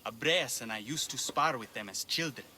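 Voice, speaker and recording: Very bright, forceful grieving man. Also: medium pitch, moderate pace, slight background noise. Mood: mournful. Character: grieving, bitter brother seeking vengeance. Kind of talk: video game voice acting.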